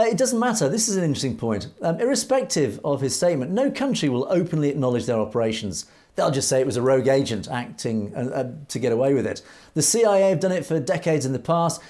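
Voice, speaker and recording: A man's voice: a little high, darkish tone. A man co-hosting a news commentary show, reading out viewer comments and answering them in an earnest, emphatic way with rhetorical questions. He is from Europe.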